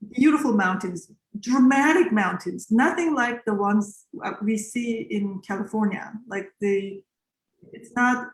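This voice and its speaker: A Korean-born woman composer in her forties, a native Korean speaker, answering interview questions in a reflective way with many pauses and fillers. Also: a little high, echoey room, rough recording.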